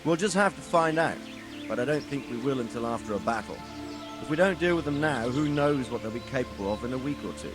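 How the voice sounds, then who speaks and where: Male actor as medieval commander, middle-aged, stern, deliberate, authoritative, video game drama, music behind. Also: medium pitch, lively intonation, close to an everyday voice. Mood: measured, resolute.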